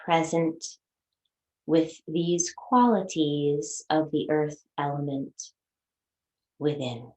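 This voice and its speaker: Slow, low-voiced woman guiding meditation. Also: a little mellow, roomy sound, rough recording. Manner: soothing, unhurried instruction with long pauses. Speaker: yoga meditation teacher. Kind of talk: guided meditation.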